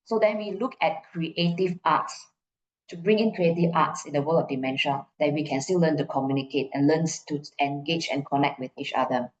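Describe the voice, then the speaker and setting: A woman's voice: deep voice, moderate pace. A middle-aged woman with a Malaysian accent giving an informal explanatory talk, repeating phrases for emphasis and checking in with her listeners. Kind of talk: conference presentation, informal and explanatory. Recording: muffled, echoey room.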